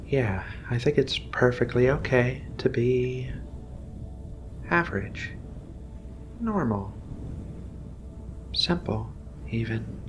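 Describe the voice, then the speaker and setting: Male ASMR roleplayer, gentle alien researcher: slow, soothing, reassuring delivery with music underneath. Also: mid-range voice. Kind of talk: soft-spoken ASMR roleplay.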